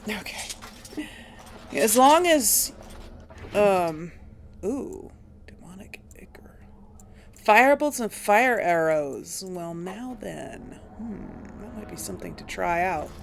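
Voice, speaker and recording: A woman giving casual video game commentary, thinking aloud in a halting, musing way as she plays. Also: mid-range voice, lively intonation, gravelly. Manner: halting, musing, talks to herself. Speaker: host of a video game playthrough.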